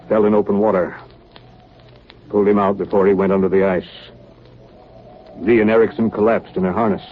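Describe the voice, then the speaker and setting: An actor playing a stern, commanding Arctic ship's captain with clipped, terse delivery, in 1930s radio drama style. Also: male voice, lowish voice. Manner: clipped, terse log-like delivery and barked orders. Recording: muffled, noisy 1930s radio broadcast recording.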